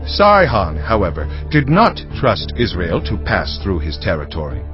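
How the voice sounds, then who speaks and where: A man's voice: sits low, huge pitch swings, a little slow, heavily acted. A man playing a proud warrior commander in a dramatized audio Bible, assertive and emphatic in his rhetoric. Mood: firm argument. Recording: dull band-limited audio, faint music underneath.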